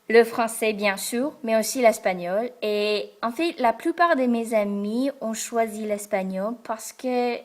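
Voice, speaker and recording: Slow, careful American woman learner. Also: on the high side. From Texas, United States. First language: English. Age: young adult. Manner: careful, hesitant, many pauses and fillers. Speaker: French learner. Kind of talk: casual talk to camera. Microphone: camera in front of her.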